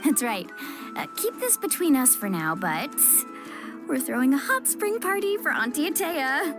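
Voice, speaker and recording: A woman's voice: high voice, sing-song, normal speed, music in the background. A woman voicing an upbeat, friendly young surfer girl in a video game, with a breezy, casual manner. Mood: cheerful. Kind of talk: animated game voice acting.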